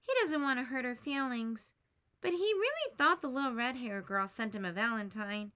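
A woman teacher reading a children's picture book aloud, expressive and lifting her voice for the characters' lines. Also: normal speed, clear, resonant tone. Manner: expressive, lifts pitch for quoted lines.

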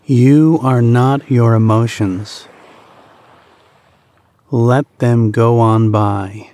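A man narrating a guided meditation in a soothing, deliberate manner, pausing between short phrases. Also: mid-range voice, steady tone, warm tone, dry recording.

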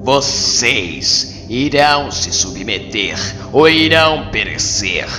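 A man's voice: mid-range voice, very animated. A man voicing a cruel, arrogant alien overlord in a motion comic dub, pompous and menacing in his scorn for humanity. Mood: commanding menace. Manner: pompous, slow, menacing delivery. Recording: long cavernous reverb under loud music.

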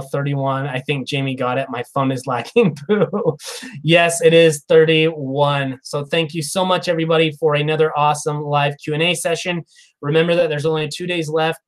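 A man in his thirties hosting a casual live Q&A stream, reading viewer comments in a chatty, upbeat way with frequent laughs, recorded on a lapel mic in a small room. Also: a little high. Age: 30s. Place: small room, plain wall behind. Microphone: clip-on lapel mic.

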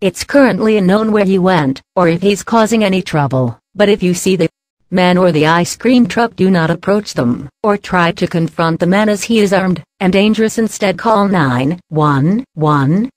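A woman voicing a female TV field reporter giving a live report in a brisk reporter manner. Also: fairly low pitch, dry recording, lightly acted. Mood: urgent. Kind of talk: mock TV news broadcast.

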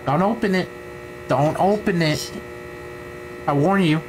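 A man's voice: fairly high pitch, measured pace. A man giving a casual, slangy live reaction to a scary video, pleading with the screen in short repeated phrases. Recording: noisy with mains hum.

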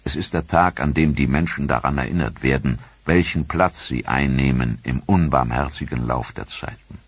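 A man narrating a history documentary in a grave, measured voice-over. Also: very deep voice, gravelly, husky, no echo.

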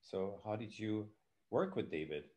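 Lowish-voiced older man. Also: animated, a little slow, warm tone, lo-fi sound. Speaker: professor and moderator. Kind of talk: informal moderating. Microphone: video call.

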